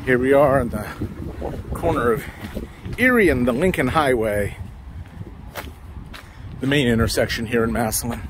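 Man musing on a city walk. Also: mid-range voice. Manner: relaxed, musing, slow and meandering. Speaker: walking tour vlogger. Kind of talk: casual walking-tour narration. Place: outdoors on city streets. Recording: outdoor street noise behind. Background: passing cars and street ambience.

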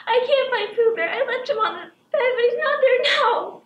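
A woman's voice: very high voice, animated, moderate pace, dull audio. A young woman playing a frightened, tearful little girl in a stage monologue, speaking in plaintive, simple childlike phrases. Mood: tearful, pleading. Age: young adult. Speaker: high school stage actor. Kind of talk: theatrical monologue. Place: indoor theatre stage, far camera. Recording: echoey stage, distant microphone.

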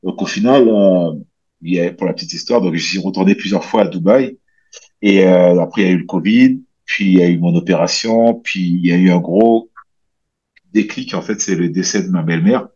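A man in his thirties from French Guiana, chatting casually as an interview guest with a relaxed, rambling way of talking full of fillers and restarts. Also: low-pitched, a little fast, breathy edge. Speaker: guest, bodybuilder and coach. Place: small room at home, indoors. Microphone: headset on a video call. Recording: slightly dull video call audio.

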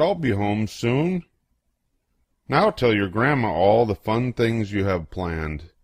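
Slow, animated older man reading to children. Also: lowish voice, no room sound, studio quality. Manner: playful, acts out exclamations and questions. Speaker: children's book reader. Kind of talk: expressive read-aloud of a picture book.

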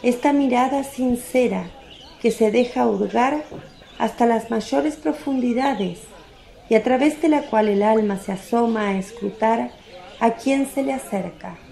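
Lady narrating a bible radio play, solemn and deliberate, soft dark gravelly voice, roomy. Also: fairly high pitch. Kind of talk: scripted narration of religious radio drama.

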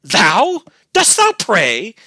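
A man's voice: high voice, huge pitch swings, very slow, sharp tone, breathy edge, dry recording, clean studio sound, voice pushed up, heavily acted. A man voicing an enraged, scornful young lover in a gothic storybook, with archaic, declamatory, venomous speech. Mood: scornful fury. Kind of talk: dramatic gothic storybook reading.

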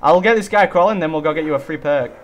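A young man hosting a casual co-op zombie game session, excitable and chatty, bursting into loud hype over his weapon. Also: on the high side, fast talker, dull audio. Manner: excitable, hypes gun, swears mildly. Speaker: gaming channel host. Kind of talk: casual co-op gameplay chat.

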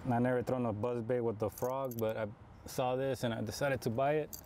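Male, 30s, casual fishing vlogger, mid-pitched, camera mic outdoors, audible background noise. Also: fairly even intonation, a little fast, dark tone. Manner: laid-back, explains as he fishes. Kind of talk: casual chat while fishing. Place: outdoor park pond.

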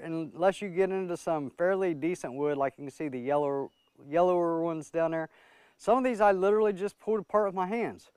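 A middle-aged man hosting a homestead channel, casually explaining in a relaxed, plainspoken way how he splits boards and runs a chipper. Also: high-pitched, clear, resonant tone, dry recording. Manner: relaxed, plainspoken, loose with fillers. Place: outdoors in a wooded yard beside a wood chipper.

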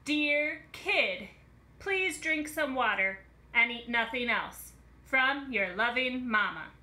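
Woman doing a very high, eager cheese doodle. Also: lively intonation, slow, pushed and bright, full character voice. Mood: instructing. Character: plucky male cheese doodle full of ideas. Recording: roomy home phone recording.

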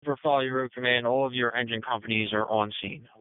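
Fast-talking male dispatcher on narrowband radio. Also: mid-range voice, steady tone, smooth, no room sound. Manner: businesslike, repeats unit numbers back. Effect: two-way radio. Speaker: fire and EMS radio dispatcher. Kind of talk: clipped, procedural radio dispatch. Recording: narrow, tinny emergency radio traffic.

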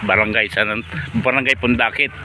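A man's voice: medium pitch, fairly even intonation, fairly quick, very noisy. A man casually narrating a vlog outdoors on a beach, speaking politely to his viewers while filming fishermen with a phone camera. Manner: easygoing, chatty, addresses viewers politely. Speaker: vlogger filming local fishermen.

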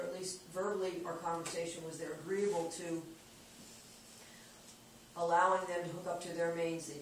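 A water department official giving a casual, plain-spoken report at a board meeting, thinking aloud with frequent restarts. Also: mid-range voice. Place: meeting room around a conference table. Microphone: far camera. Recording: echoey room, distant camera, background noise.

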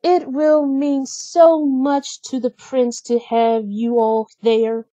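A woman's voice: sits high, level tone, slow, warm tone, no echo, voice pushed up. A woman voicing a sweet, happy young bride princess in a storybook, gushing and earnest. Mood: warm. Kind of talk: storybook character reading.

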